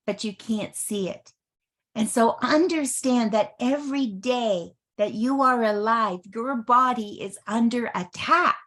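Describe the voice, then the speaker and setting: A woman in her early sixties giving a motivational health product presentation, speaking emphatically and deliberately and repeating phrases for effect. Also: fairly high pitch. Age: early 60s.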